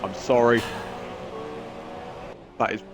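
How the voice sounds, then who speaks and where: A man's voice: medium pitch, fairly even intonation, slow pace, music in the background. A man commentating a sim race in casual chat, sounding nasal from a cold, rambling and poking fun at himself. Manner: rambling, self-mocking, chatty.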